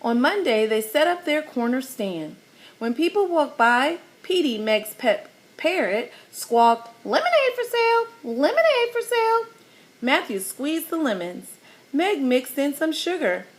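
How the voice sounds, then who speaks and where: A woman reading a children's picture book aloud, animated and teacherly, pausing to explain the pictures. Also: high-pitched.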